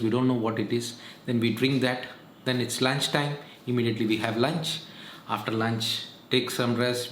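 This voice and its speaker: A man, giving earnest, repetitive health advice in a casual vlog monologue. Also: medium pitch. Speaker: vlogger. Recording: echoey room, faint noise.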